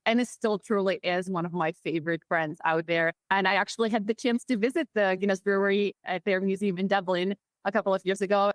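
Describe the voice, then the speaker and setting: Guest: thirties, Czech accent, chatty and upbeat, dry close sound. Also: female voice, mid-range voice, no echo. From Czech Republic. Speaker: guest, marketing executive. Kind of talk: podcast interview.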